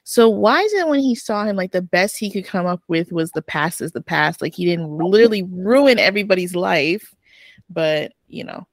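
A woman from Canada co-hosting a book podcast, chatty and animated in casual chat. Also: on the low side, normal speed, dry recording, studio quality. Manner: animated, chatty, laughs often. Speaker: podcast co-host.

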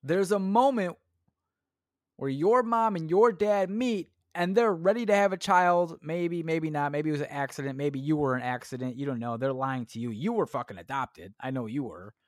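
Crude rambling podcast guy, fairly high voice. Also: no echo, clean studio sound. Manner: crude, rambling, self-mocking, swears a lot. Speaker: podcast host. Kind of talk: solo comedic podcast rant.

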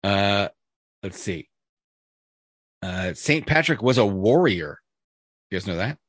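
A man's voice: fairly low pitch, expressive, slow, fairly bright tone, no room sound, studio quality. A man co-hosting a casual podcast chat, reading a list aloud with jokey asides, fillers and restarts. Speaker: podcast co-host.

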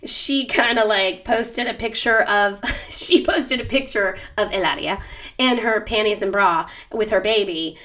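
A woman's voice: medium pitch, unhurried, fairly bright tone. A woman co-hosting a casual gossip chat, telling stories animatedly and mimicking accents in quotes. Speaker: podcast co-host.

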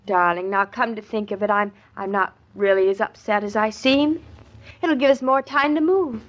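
An actress playing a spirited, impulsive young American wife in an expressive, chatty 1940s radio drama style. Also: female voice, mid-range voice, normal speed, natural voice. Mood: fretful, then brightening. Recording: dull old radio recording.

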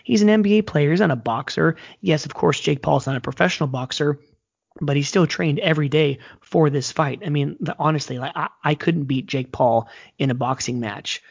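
A man co-hosting a casual podcast, talking in an excitable, slangy way full of "like" and "dude". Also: on the high side, brisk, gravelly, lo-fi sound. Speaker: podcast co-host. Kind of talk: casual chat.

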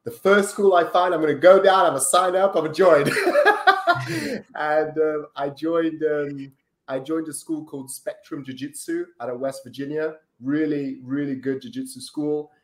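Brazilian-born man, relaxed guest, roomy echoey sound. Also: on the high side, normal speed. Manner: relaxed, chatty, many ums and tag questions. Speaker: podcast guest. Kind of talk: casual interview chat. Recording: roomy echo.

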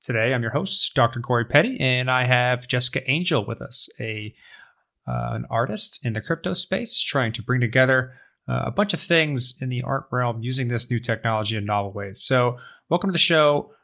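Man, podcast host, mid pitch, bright tone, technical-minded. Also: expressive, vocal fry. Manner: quick, corrective, technical asides. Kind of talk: casual chat and interview intro.

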